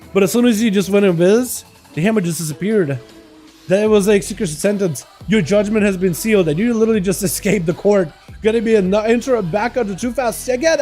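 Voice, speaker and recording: A man with a slight Russian accent, streaming and calling game duels with excited play-by-play, then chatting loosely with his viewers. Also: sits high. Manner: fast, excitable play-by-play that turns to loose rambling chat. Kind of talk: excited live game commentary mixed with casual chat.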